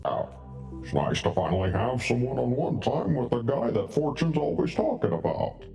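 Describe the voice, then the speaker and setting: Easygoing teasing pal in a game; warm, very animated, a little off-mic over music. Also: male voice, medium pitch, moderate pace, vocal fry, far from the mic. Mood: chummy. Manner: teasing, chummy. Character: chummy, teasing, easygoing male acquaintance. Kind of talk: scripted video game conversation.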